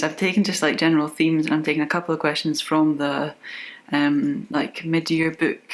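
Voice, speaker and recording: A young woman book vlogger chatting casually, with many fillers and self-corrections. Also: deep voice. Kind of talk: casual chat about books.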